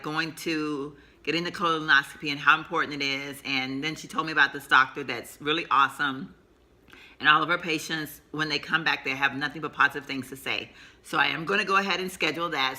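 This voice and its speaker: Bright-voiced woman vlogger. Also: low-pitched. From Texas, USA. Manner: rambling, chatty, laughs often. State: coming down with a cold, sore throat. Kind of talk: casual chatty vlog. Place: sitting at home.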